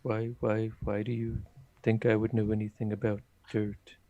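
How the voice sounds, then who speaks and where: A man's voice: fairly low pitch, completely flat delivery, moderate pace, mellow, no room sound, dull audio, natural voice. A man playing a nervous, squeamish and pedantic adventurer in a tabletop game, stammering and hesitating as he talks. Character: nervous, squeamish, pedantic male adventurer who dreads tunnels.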